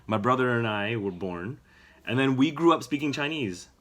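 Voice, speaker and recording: A man, a father, casually telling family stories to his young daughter in a gentle, rambling way. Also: mid-range voice, expressive, moderate pace. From Canada. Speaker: father and channel host.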